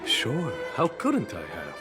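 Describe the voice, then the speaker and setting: An actor voicing a calm, composed male general who mentors a young apprentice. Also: medium pitch, over music. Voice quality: calm, measured. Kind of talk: video game voice acting.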